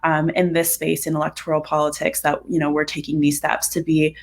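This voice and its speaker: A young woman from Ohio interviewing a candidate, halting and full of fillers, over a video call. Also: low-pitched, monotone. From Dayton area, Ohio, USA. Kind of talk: interview questions.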